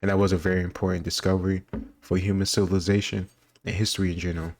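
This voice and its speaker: A man's voice: low voice, little pitch movement, very fast, a little mellow. A man giving casual commentary in a rambling, musing way, often qualifying what he says. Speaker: host reacting to quiz questions. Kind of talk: casual commentary on history trivia.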